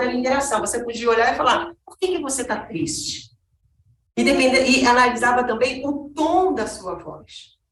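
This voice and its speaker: A woman's voice: medium pitch, a bit bright, a little hiss or hum. A woman with a Brazilian Portuguese accent giving a conversational presentation, asking the audience rhetorical questions. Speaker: speaker giving a talk on accessibility. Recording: echoey room, voice from a distance.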